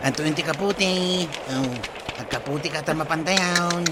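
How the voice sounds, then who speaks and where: A man's voice: a little high, moderate pace, close to an everyday voice. Guy acting as eager ilocano village sidekick, comedy drama, lots of outdoor noise behind. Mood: respectful. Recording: noisy outdoor location sound.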